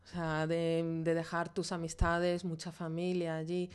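A woman's voice: on the low side, completely flat delivery, a little slow, smooth. A woman from Venezuela, a podcast guest, chatting casually and hesitantly with many fillers. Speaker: podcast guest, graphic designer. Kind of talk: casual interview chat.

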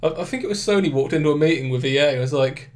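A young man with a British accent hosts a casual gaming podcast, his voice a touch nasal and blocked up from a head cold. He rambles loosely, restarting sentences and acting out little quotes. His pitch sits a bit on the higher side for a man. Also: rapid-fire, a little mellow. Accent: British English. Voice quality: slightly nasal. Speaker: podcast host.

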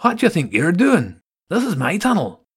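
A man voicing a squeaky, bossy rat leader with an axe, in a storybook reading. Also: huge pitch swings, moderate pace, breathy edge, full character voice. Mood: indignant.

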